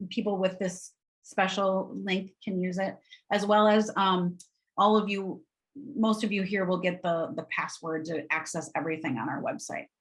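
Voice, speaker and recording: A woman's voice: medium pitch, fairly bright tone. Nasal Wisconsin woman presenting. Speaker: presenter of educational resources. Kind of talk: informal webinar walkthrough.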